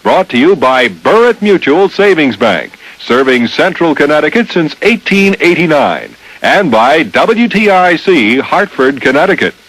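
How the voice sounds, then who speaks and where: A man's voice: a little high, very animated, unhurried, hardly any pauses, fairly bright tone, poor audio. An American man reading a scripted radio program announcement in vintage broadcast style.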